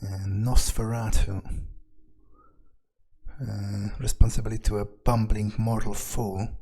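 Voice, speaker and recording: A man with a slightly husky voice, narrating a game playthrough in character as a wary vampire, muttering his thoughts hesitantly. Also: on the low side, level tone, a little slow, warm tone. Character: vampire agent, profane and wary, thinking aloud. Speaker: let's player speaking in character.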